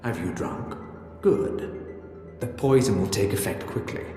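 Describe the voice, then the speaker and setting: An actor playing an ancient Sith spirit in a video game, a solemn keeper of dark secrets who speaks formally and ominously. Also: male voice, fairly low pitch, a little slow, close to an everyday voice. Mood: solemn, instructing. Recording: cavernous echo with long reverb, music behind.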